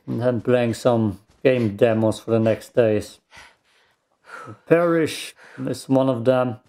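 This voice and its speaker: Slow, mellow man with a creaky voice. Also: mid-range voice. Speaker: gamer doing a let's play. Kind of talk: casual gameplay commentary.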